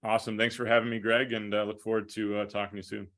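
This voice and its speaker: An American man answering interview questions conversationally as a guest broadcaster, with measured, hesitant phrasing. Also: fairly low pitch, level tone, fairly bright tone. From Minnesota, United States. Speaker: podcast guest, hockey play-by-play broadcaster.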